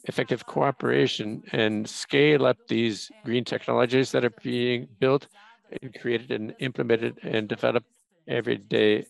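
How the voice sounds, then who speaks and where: A man giving simultaneous interpretation of a panel discussion, talking in halting bursts with restarts and fillers. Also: mid-range voice, steady tone, gravelly, no echo, low-quality recording. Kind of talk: live interpretation of a webinar panel.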